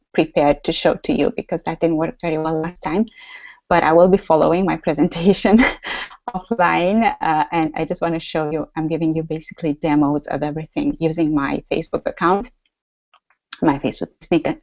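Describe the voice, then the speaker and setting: Woman, Romanian accent, deep voice, dull lo-fi call audio, lots of ums. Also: gravelly, a little mellow, no room sound. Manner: chatty, many fillers and restarts. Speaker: workshop host. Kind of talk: live webinar teaching.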